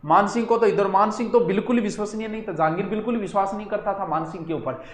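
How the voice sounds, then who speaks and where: A man's voice: high voice, fast talker. A man with a Rajasthani accent giving an informal exam-coaching lecture, addressing students directly and slipping into local dialect. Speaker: history teacher for exam coaching. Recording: roomy, echoing sound.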